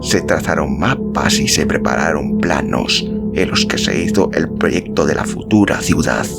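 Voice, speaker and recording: A man's voice: a bass voice, expressive, measured pace, vocal fry. A man reading a literary story as a scripted narration, in the role of podcast narrator. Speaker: audiobook-style podcast narrator. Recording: music behind the voice. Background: instrumental music.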